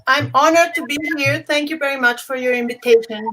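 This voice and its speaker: A woman from Mexico with a Mexican Spanish accent, a native Spanish speaker, explaining a spiritual tradition slowly and patiently as a podcast guest over a call. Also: high-pitched, noisy background. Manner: measured, explains terms patiently.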